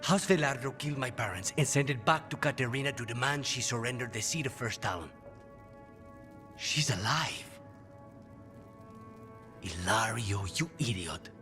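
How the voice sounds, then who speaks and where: Brooding game assassin, troubled and intense, medium pitch w lots of movement, faint music. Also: male voice, lively intonation, moderate pace, pauses often, sharp tone. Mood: tense. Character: anguished male assassin, brooding and conflicted.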